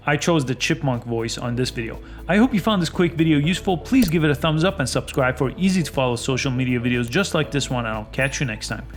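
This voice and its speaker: A man's voice: medium pitch, quick pace, few pauses, faint music behind. A man hosting a how-to video, narrating casually step by step in a plain, instructional way. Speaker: tutorial host.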